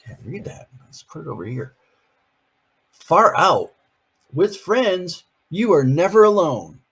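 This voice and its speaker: Playful husky grandpa reading aloud. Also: male voice, a little high, sing-song, slow. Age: older. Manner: playful, chatty, asks the listeners questions. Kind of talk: reading a picture book aloud on video.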